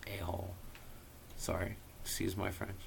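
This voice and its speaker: A man's voice: low-pitched, flat delivery, measured pace, vocal fry, noisy background. A man reading a story aloud informally, with a theatrical, irreverent manner and profane casual asides. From US Midwest. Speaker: amateur audiobook reader.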